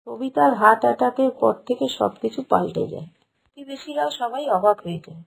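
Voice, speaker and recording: A woman's voice: a little high, expressive, fairly quick, gravelly, slightly rough, rough recording. A woman narrating a scripted moral tale for a cartoon.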